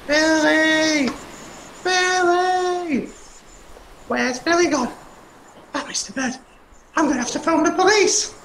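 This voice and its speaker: A woman performs a gentle, easily flustered puppet for a children's show. The voice is high and unhurried, hesitating and stretching words out. It sounds roomy, with some hiss from a homemade recording. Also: fairly even intonation, heavily acted. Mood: worried, pleading. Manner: slow, drawn-out, hesitant, exclaiming.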